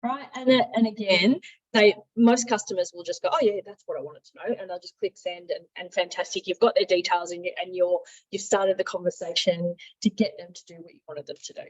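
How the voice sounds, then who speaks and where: A woman giving an informal, instructional software demo as a trainer, talking in a conversational, emphatic way. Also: fairly low pitch, fairly even intonation, a little fast, highs cut off. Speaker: software trainer, marketing director.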